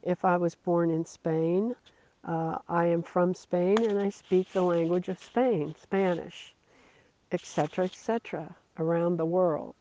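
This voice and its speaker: A preacher giving a slow, earnest devotional sermon, repeating phrases for emphasis. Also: medium pitch, steady tone, dark tone. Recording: dull lo-fi recording.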